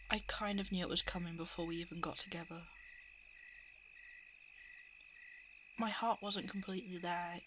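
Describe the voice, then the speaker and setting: A woman playing a shapeshifting monster who poses as a lovelorn crush in an ASMR roleplay, speaking softly and hesitantly, often slipping into whispers. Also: mid-range voice, background noise, natural voice. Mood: wistful confessing. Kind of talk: ASMR roleplay, intimate confession. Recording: night insect and frog ambience. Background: crickets, frogs and insects.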